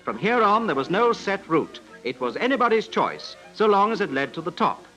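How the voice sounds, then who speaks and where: A man's voice: high voice, sing-song, normal speed, fairly bright tone, rough recording. A man narrates a scripted 1950s newsreel in a formal, dramatic manner. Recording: vintage 1950s newsreel soundtrack, music.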